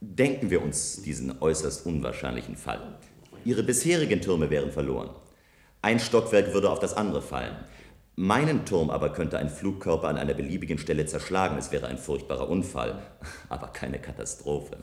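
An actor playing a self-assured visionary engineer in a German science fiction radio play, speaking in measured, persuasive statements. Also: male voice, extremely low pitch, animated. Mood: assured, insistent. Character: self-assured visionary male engineer proposing a bold tower. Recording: roomy, echoing studio radio play sound.

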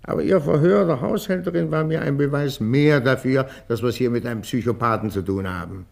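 A man's voice: medium pitch, brisk, vocal fry, darkish tone. An actor playing a stubborn, dismissive police inspector who lectures his subordinate emphatically in a German radio crime drama. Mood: exasperated lecturing.

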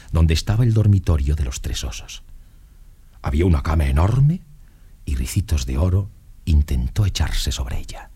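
A man's voice: deep voice, warm tone, breathy edge. A man narrating a children's story in a scripted storytelling style. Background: light music.

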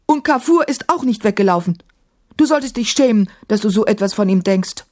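A woman's voice: on the high side, animated, a little fast, darkish tone, pitched above the performer's own voice, lightly acted. A woman voicing a bold, indignant young girl in a storybook, direct and defiant. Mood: indignant, impatient. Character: bold, indignant young girl who stands her ground.

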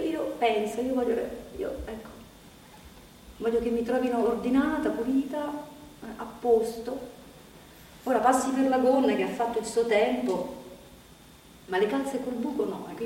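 An actress playing a troubled woman brooding on economic despair, in a halting, repetitive, insistent theatrical monologue. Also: a little high, a little mellow, close to an everyday voice. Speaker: stage actress. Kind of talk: theatrical monologue broadcast on radio. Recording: very echoey hall, distant mic, faint noise.

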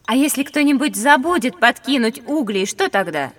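A woman playing a sensible, sharp-witted young girl, matter-of-fact and a little teasing, in a children's educational audio drama. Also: sits high, lively intonation, moderate pace. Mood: observant, sensible.

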